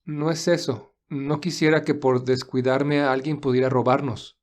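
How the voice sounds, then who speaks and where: A calm, caring young romantic lead, played by a man in an audio drama. He is soft-spoken and measured, with a protective, reassuring manner. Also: mid-range voice, natural voice.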